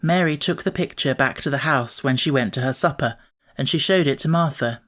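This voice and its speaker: A man reading an audiobook as narrator, telling the story in a measured, expressive way. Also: mid-range voice.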